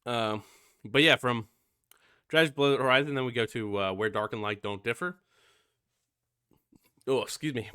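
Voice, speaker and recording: A man's voice: medium pitch, moderate pace, pauses often, pushed and bright, clear, resonant tone, no echo, clean studio sound. Stuffy-nosed man rambling about albums. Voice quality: nasal. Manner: rambling, many fillers and swearing. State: sick and stuffy. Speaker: music reviewer. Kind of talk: casual album review.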